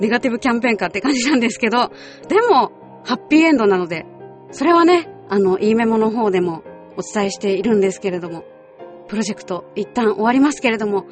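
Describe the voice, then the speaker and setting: High-voiced woman hosting a radio show. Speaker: radio show host and voice actress. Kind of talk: scripted radio show talk with casual asides. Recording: music behind the voice. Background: background music.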